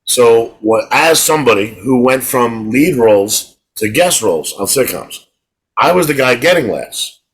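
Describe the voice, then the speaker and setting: A man's voice: medium pitch, lively intonation, unhurried, slightly rough, low-quality recording. A middle-aged man co-hosting a podcast in casual chat, telling rambling stories and restarting his words. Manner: rambling storyteller, repeats words when starting. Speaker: podcast co-host.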